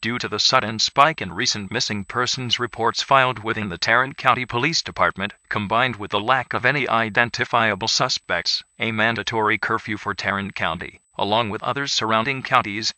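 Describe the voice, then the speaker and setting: Bright, forceful male emergency announcer. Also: lowish voice, no echo. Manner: formal, impersonal, flatly official.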